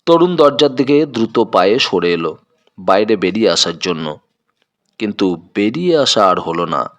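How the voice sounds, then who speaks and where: A man's voice: fairly low pitch, very animated, normal speed. A man narrating a scripted detective story in a dramatic, suspenseful manner.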